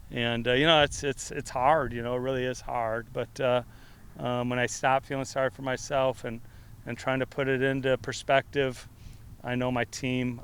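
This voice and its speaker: A middle-aged man, a head football coach with a loud voice, answering reporters in a loose, rambling way full of fillers and restarts. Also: medium pitch, smooth. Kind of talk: press conference answers.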